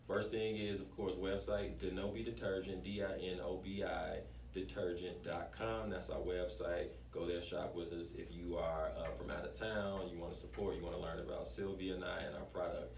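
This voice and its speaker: Low-pitched man in an echoey room. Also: flat delivery, normal speed, gravelly, few pauses, a little mellow. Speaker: small business owner being interviewed. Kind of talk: casual promotional interview talk. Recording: echoey room, distant mic, faint noise.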